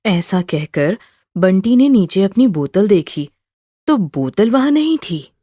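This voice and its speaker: A woman's voice: medium pitch, very animated, slow pace, mellow, husky, no room sound, studio quality. A woman narrating a scripted horror story with suspenseful, dramatic delivery.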